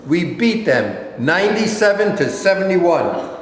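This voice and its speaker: A man plays a proud, protective school coach in a stage play. His emphatic voice is fairly high and swings widely in pitch, ringing in a very echoey hall. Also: very animated, unhurried. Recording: very echoey hall, faint noise.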